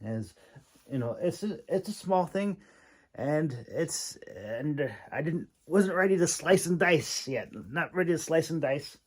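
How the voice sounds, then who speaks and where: A man giving an informal, rambling critique as a host, speaking haltingly with long pauses and repeated words. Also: on the high side, lively intonation, husky. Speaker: host, independent fantasy writer and audiobook reader giving critique.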